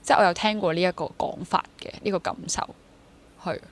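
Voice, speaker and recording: A woman's voice: lowish voice, steady tone, fast talker. A woman in her twenties with a Hong Kong accent, talking conversationally as an interview guest and dropping in English words. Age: 20s. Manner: mixes English words into Cantonese. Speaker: interview guest, freelance stage actor. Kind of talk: conversational interview, sharing personal experience.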